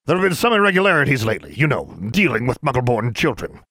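A man's voice: medium pitch, huge pitch swings, sharp tone, dry recording, pitched above the performer's own voice, heavily acted. Audiobook role: stuffy official, secretly a girl in disguise, queasy and pompous, voice pushed up well above natural male narrator acting. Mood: officious bluffing. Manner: formal, pompous, deliberate. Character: disguised girl bluffing as stuffy, unwell official Mister Brown. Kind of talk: audiobook character voice.

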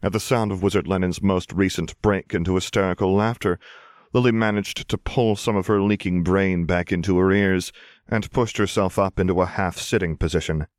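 A man reading a scripted story as narrator, in a measured, expressive way. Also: sits low, dry recording, clean studio sound. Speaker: audiobook narrator.

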